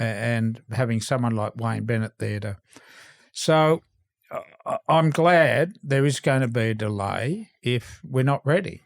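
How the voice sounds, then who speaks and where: A man's voice: mid-range voice, vocal fry, dry recording, studio quality. An Australian man co-hosting a sports podcast, giving blunt, opinionated views in a casual style. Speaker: podcast co-host, sports journalist.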